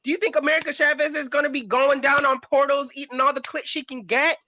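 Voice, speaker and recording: High-voiced, bright, foul-mouthed man. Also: fairly even intonation, quick pace, highs cut off, a little hiss or hum. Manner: blunt, foul-mouthed, emphatic. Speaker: panelist on a pop culture discussion show. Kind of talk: heated opinionated discussion.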